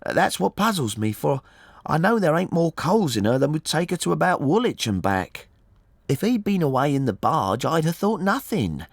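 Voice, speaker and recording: A man's voice: a little high, very animated, moderate pace, creaky, dry recording, clean studio sound, pitched above the performer's own voice, heavily acted. A man reading an audiobook, voicing an anxious, talkative working-class married woman who speaks colloquially and deferentially. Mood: worried, chatty. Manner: chatty, colloquial, deferential, saying sir often. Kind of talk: audiobook character voice.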